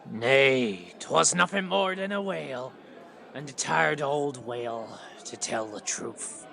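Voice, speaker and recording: A man's voice: mid-range voice, expressive, slow, vocal fry, sharp tone, full character voice. A man playing a wry, knowing tavern pirate in an audio play, speaking in salty old-fashioned dialect.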